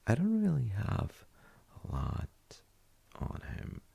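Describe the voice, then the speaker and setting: Guy playing a comforting dad in audio roleplay, super crackly husky voice, mellow, kinda hesitant and musing. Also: wildly swooping pitch, slow, muffled recording, heavily acted. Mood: reflective, gentle. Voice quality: very deep, gravelly, husky. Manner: hesitant, musing, soft-spoken. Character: gentle, thoughtful dad comforting his child. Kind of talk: intimate audio roleplay, slow bedtime chat.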